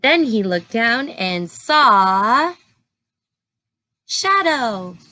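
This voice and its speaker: A woman reads a picture book to young children in a slow, deliberate storytelling style. Her voice is bright and fairly high. She is expressive and breaks off to explain things and put simple questions to her listeners. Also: no echo. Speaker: teacher reading aloud to young children.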